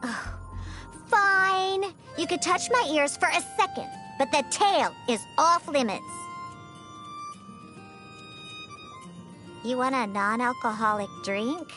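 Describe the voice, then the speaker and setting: High-pitched, huffy young cat-girl. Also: expressive, unhurried, music in the background. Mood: proud, flustered. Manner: huffy, defensive, stammering when flustered. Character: proud, grumpy young cat-girl bartender, easily flustered. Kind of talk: video game character voice lines.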